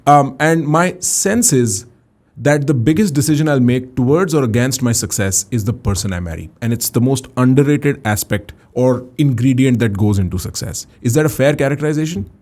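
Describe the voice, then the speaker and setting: A man in his late twenties from India hosts a podcast. He talks in a thoughtful, reflective way and builds slowly toward his question. His pitch sits in the middle of the range. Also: animated, darkish tone. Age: 20s. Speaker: podcast host.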